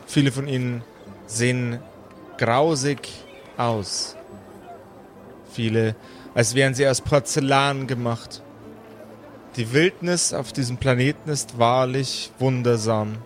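A man hosting as game master, telling a story in an atmospheric, unhurried narrator's style. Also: mid-range voice, steady tone, a little hiss or hum. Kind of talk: slow atmospheric scripted narration.

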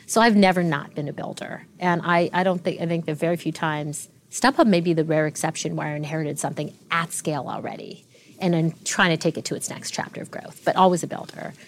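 A woman in her forties, a tech executive being interviewed, telling stories fluently and with lively restarts. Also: low-pitched, slight background noise. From Canada. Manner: fluent, animated storytelling with frequent restarts. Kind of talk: interview conversation.

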